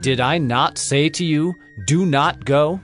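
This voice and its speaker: A man's voice: fairly high pitch, takes it slowly. A man playing a solemn, devoted prophet of ancient Israel in a dramatized scripture production, declaiming with fervor and deliberation. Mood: stern. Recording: background music under the voice.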